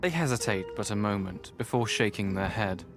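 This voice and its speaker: Low-voiced man as gothic story narrator. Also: expressive, brisk, music in the background, lightly acted. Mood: grave. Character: grave, literary male narrator of a gothic tale. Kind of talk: scripted visual novel narration.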